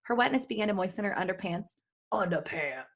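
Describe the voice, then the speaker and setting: A woman's voice: mid-range voice, lively intonation, a little fast, creaky. A woman hosting a podcast, reading fan fiction aloud in a playful, giggly way with chatty asides. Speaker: podcast host.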